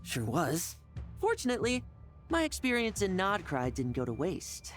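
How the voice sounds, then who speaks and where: A man's voice: high-pitched, huge pitch swings, normal speed, faint music behind. A man playing a cocky young fighter in a video game, breezy and brash.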